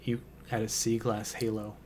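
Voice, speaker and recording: A man with a slightly hoarse voice, hosting a relaxed poetry reading and hesitating often with ums and restarts. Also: on the low side, moderate pace, a little hiss or hum. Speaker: poetry channel host. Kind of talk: casual intro and poetry reading.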